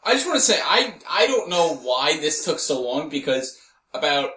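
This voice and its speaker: A man's voice: fairly high pitch, moderate pace, far from the mic. A man on a casual podcast, telling crude stories with lots of swearing. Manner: crude, animated storyteller. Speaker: podcast co-host. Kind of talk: casual group chat. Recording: roomy echo with faint noise.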